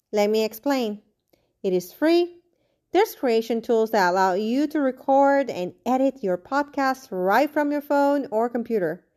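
Woman, ad read, slow delivery, fairly high voice, scripted. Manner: scripted and even. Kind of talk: read sponsor ad.

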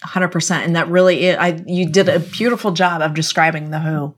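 A woman hosts an interview over a video call, affirming her guest often and gently prompting her to share more. Her voice sits somewhat low for a woman. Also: fast. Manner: affirming, encouraging listener with many short agreements. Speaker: interview host. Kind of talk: conversational interview.

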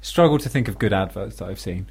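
A man's voice: fairly low pitch, expressive, quick pace, darkish tone, breathy edge. A British man co-hosting a radio comedy show, offering dry, brief interjections in casual banter.

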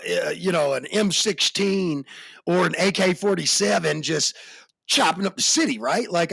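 An American man hosting a casual podcast chat, animated and slangy, with a deep voice that jumps in pitch for emphasis. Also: gravelly, slightly rough, no room sound. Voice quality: deep bassy voice. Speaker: podcast host.

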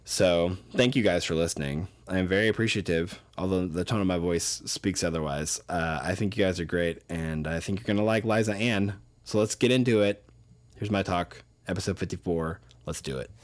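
A young man hosting a casual podcast. He talks in a rambling, self-deprecating way with many fillers, and his voice is low because he is recovering from an illness. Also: fairly quick. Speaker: podcast host.

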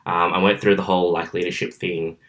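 A young Australian man hosts his own podcast from his bedroom. His voice sits very low but comes across bright and forceful. He talks casually and sociably, with plenty of ums along the way. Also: flat delivery, fairly quick. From southwestern Sydney, Australia. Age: about 21. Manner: chatty and outgoing, many fillers. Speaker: podcast host. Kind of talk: casual solo podcast monologue. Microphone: camera, filmed.

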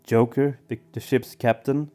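A man co-hosting a podcast in casual discussion, with halting phrasing that often doubles back on words. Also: medium pitch, steady tone, mellow. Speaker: podcast co-host.

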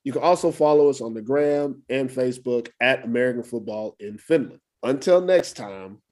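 A man's voice: medium pitch, moderate pace, darkish tone. A man hosting a podcast, wrapping up the episode in an upbeat, joking way. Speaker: podcast host. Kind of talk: casual podcast outro.

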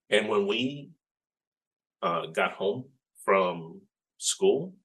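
A man in his forties from Rico, a Spanish speaker, telling his story as an interview guest in a reflective, unhurried way. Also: fairly low pitch, a bit bright, rough recording. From Puerto Rico. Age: 40s. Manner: reflective, unhurried storytelling with frequent "you know". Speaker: guest, game developer. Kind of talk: casual interview chat.

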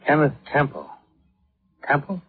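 An actor playing a brisk, methodical insurance investigator, terse and matter-of-fact, in the style of 1950s radio detective drama. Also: male voice, on the high side, big pitch swings, a little hiss or hum. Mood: probing, terse. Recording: muffled, narrow-band vintage radio recording.

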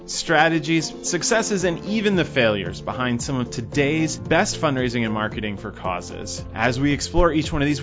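A man's voice: mid-range voice, dull audio. A man hosting a podcast, reading an upbeat scripted intro and then interviewing a guest. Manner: upbeat, announcer-like. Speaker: podcast host.

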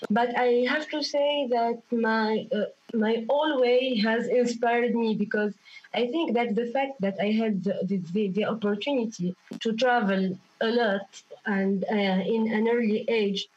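A woman's voice: fairly high pitch, a little slow, darkish tone. A young woman from Tunisia answering interview questions, hesitant, restarting and repeating words as she searches for them. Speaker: guest activist. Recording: dull lo-fi remote call audio.

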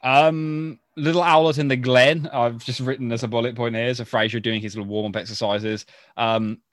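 A man's voice: medium pitch, steady tone, normal speed, no echo. Chatty, rambling male podcast co-host, dry sound. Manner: chatty, rambling, thinking aloud. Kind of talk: casual chat about a sitcom.

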